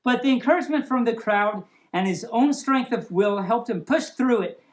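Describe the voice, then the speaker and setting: Animated high-voiced woman storyteller. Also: normal speed. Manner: playful, silly, expressive. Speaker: storyteller, host of a storytime series. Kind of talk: storybook reading with playful ad-libbed asides.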